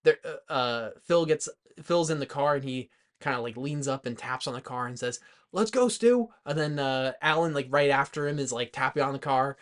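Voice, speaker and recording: A man co-hosting a movie podcast, talking in a loose, informal way with lots of halting filler words as he retells things. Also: mid-range voice. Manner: informal, heavy use of "like", halting, animated retelling. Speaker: podcast co-host. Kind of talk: rowdy casual movie chat.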